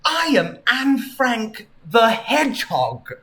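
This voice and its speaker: Timid young storybook girl acted by a man, naive, hesitant and earnest, pitched up with a bright tone. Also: huge pitch swings, slow pace, heavily acted. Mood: dawning resolve. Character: timid, sad girl hiding in an attic.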